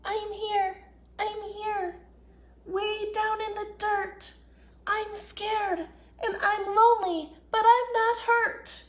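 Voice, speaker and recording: A woman's voice: very high-pitched, steady tone, slow pace, pitched above the performer's own voice. A woman voicing a tiny, timid, earnest little seed in a children's storybook, speaking in plaintive, childlike phrases. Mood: scared, lonely.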